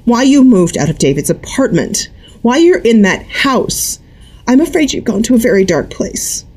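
A shrill, prying older mother who lectures and presses without letup, as a woman reading a novel puts her on. Her voice sits far higher than the reader's own and stays loud and insistent. Her tone swings widely. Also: big pitch swings, moderate pace, breathy edge. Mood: tearful. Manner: high-pitched, insistent and lecturing.

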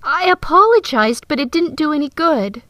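A plain-spoken teenage noble girl, as a male narrator puts her on, pitched very high and swooping widely between words. She talks bluntly and flatly, with no polish. The audiobook recording is dry and close. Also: moderate pace, no room sound, full character voice. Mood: earnest. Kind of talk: audiobook character voice.

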